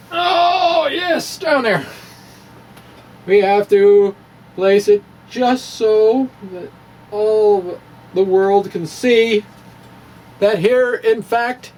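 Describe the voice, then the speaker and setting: Male science explainer, slightly high voice, jokey and rambling, informal delivery, noticeable noise on the recording. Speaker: presenter of a science explainer show. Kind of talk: informal math demonstration, ad-libbed. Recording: clearly noisy recording with room ambience.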